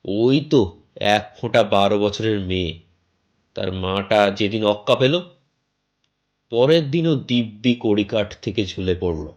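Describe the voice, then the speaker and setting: A man's voice: on the low side, a little slow, long pauses, darkish tone, lo-fi sound, close to an everyday voice. A man voicing a garrulous middle-aged house seller in an audio story, callous and grumbling, with wheedling, colloquial chatter. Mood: callous, pushy. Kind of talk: dramatised audio story dialogue.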